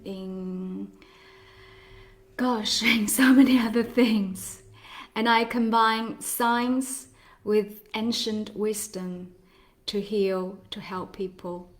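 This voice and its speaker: A woman in her forties from China, a healer giving a slow, gentle live talk with pauses and soft laughs. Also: mid-range voice.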